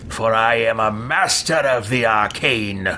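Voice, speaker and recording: A man voicing a power-hungry, imperious dark mage in a role-playing game with drawn-out, theatrical delivery. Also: fairly low pitch, noisy background, lightly acted. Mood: menacing.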